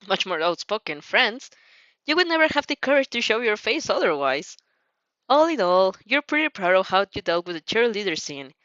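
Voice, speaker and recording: A woman narrating anime fan fiction in an expressive, giggly storytelling manner. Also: mid-range voice, no echo, muffled recording.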